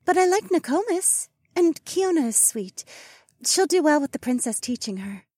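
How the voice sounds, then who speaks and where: A sweet young phoenix girl, put on by a male narrator, chirping in a very high, lively voice. She speaks simply and expressively, as a fantasy audiobook companion, close and dry. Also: moderate pace, dry recording. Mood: chirpy, content. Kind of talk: audiobook character dialogue.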